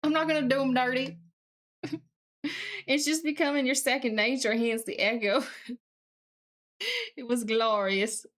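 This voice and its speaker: A woman's voice: sits high, fairly even intonation, unhurried, pauses often, fairly bright tone. A woman with a put-on Southern US accent, chatting casually as a live streamer in a folksy, mildly sweary way while working at a computer.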